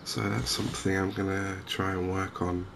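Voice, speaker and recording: A softly spoken man giving hesitant, unhurried ASMR-style commentary over a video game. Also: low-pitched, level tone. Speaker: gaming video host. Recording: clear game ambience noise behind voice. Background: outdoor wildlife ambience from a fishing game.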